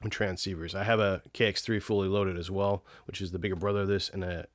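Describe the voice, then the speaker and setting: A man's voice: low voice, flat delivery, fast talker, dry recording. A man hosting a casual product review, explaining technical details in an informal way. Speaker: video host reviewing radios.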